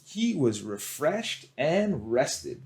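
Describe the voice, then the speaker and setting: A man reading a picture book to children as a storyteller, expressive and deliberate, with dramatic pauses. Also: on the high side, creaky.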